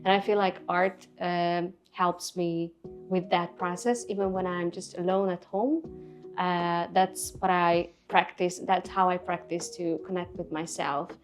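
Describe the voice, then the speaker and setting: Soft-spoken woman, reflective. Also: fairly low pitch, steady tone, a little slow, faint music behind. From Hungary. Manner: soft-spoken, reflective, with many fillers and restarts. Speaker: artist guest. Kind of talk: casual interview. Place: Budapest.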